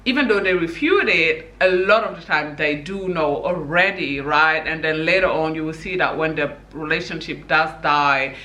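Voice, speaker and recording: A woman's voice: deep voice, normal speed, hardly any pauses, pushed and bright, echoey room. A woman from Senegal giving her opinions in a casual vlog, talking emphatically with many "you know"s. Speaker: vlogger.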